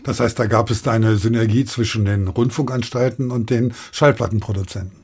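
Husky male radio interviewer. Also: lowish voice, fairly even intonation, brisk, a little mellow. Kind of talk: moderated interview.

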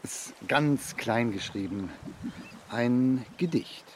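A man's voice: medium pitch, takes it slowly, slight background noise, lightly acted. An actor playing a timid, hesitant foster father who stammers and evades in a children's radio play. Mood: nervous, reciting.

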